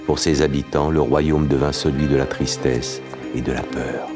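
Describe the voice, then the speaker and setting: Music plays under a fairy-tale narrator with a very deep bass voice. The scripted storybook delivery is measured and dramatic, as for an animated film. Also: male voice. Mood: storytelling.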